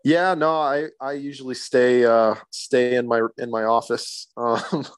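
A man in his thirties answering interview questions in a low-key, hesitant way with many fillers. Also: steady tone, a little slow, clear, resonant tone, no echo. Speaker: sportsbook manager.

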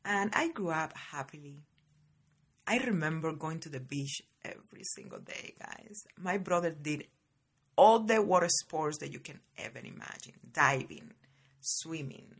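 Low husky-voiced woman host. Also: unhurried. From Cuba. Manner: chatty, lively, keeps addressing listeners as guys. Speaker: podcast host. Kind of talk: casual personal storytelling.

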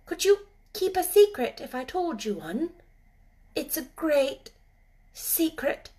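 A high, girlish storybook voice put on by a woman narrator. She is a shy but eager child. Her delivery is earnest and full of questioning. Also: lively intonation, moderate pace. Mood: anxious, intense.

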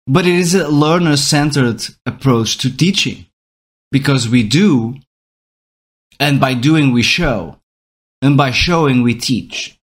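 A man's voice: mid-range voice, slow pace. A man whose first language is Portuguese gives a reflective talk about how people learn. He thinks aloud as he goes, restarting phrases and checking in with rhetorical questions.

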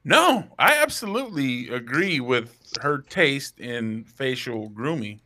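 A man's voice: mid-range voice, very animated, slow, bright, forceful tone. A man in his thirties co-hosting a podcast, teasing his friends in casual banter. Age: 30s. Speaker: podcast co-host. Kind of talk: casual chat.

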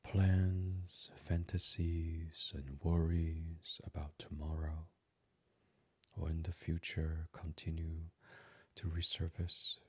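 Very low, slow, hushed man guiding sleep. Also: completely flat delivery, slightly rough, no room sound. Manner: hushed, soothing delivery slipping into near-whisper. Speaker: meditation podcast host. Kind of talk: guided sleep meditation, ASMR.

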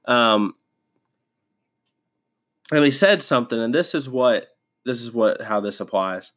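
A man's voice: medium pitch, animated, measured pace, a bit bright. A man hosting a devotional podcast, stuffed up from a sinus infection, talking casually with many fillers and restarts. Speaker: podcast host.

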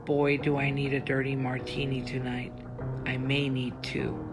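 A woman's voice: rumbling low, flat delivery, measured pace, music in the background. A woman reading as an indignant, protective woman friend, speaking a bitter, fuming inner monologue.

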